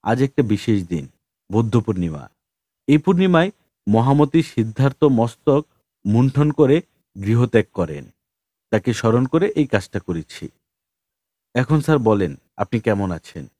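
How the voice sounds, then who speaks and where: A man voicing a wry, polite drifter who calmly spins tall tales to the police in an audiobook. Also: mid-range voice, measured pace, long pauses, dry recording, clean studio sound. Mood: politely bluffing.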